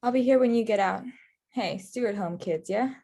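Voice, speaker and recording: A woman playing an affectionate, worried, wry girlfriend in a screenplay table read, with a husky, gravelly voice. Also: mid-range voice, normal speed, warm tone. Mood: tender.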